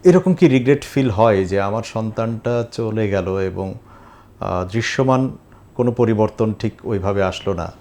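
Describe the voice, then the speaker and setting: A man with a Bangladeshi accent hosting a reflective interview, asking long questions in a deliberate way with pauses mid-sentence. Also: lowish voice, expressive, warm tone. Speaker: talk show host.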